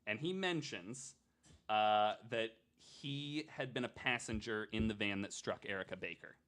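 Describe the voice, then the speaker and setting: Male podcast host, on the low side, relaxed conversational true crime narrator, informal and jokey. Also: unhurried. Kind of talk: casual true crime storytelling chat.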